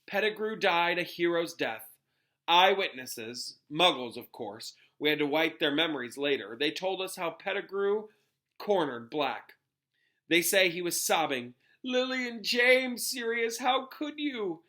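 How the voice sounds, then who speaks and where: A self-important middle-aged minister from a storybook, read out by a man, with a bright, forceful voice. He recounts things gravely and dramatically, halting now and then for weight. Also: sits high, lively intonation, voice pushed up, heavily acted. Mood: grave, dramatic.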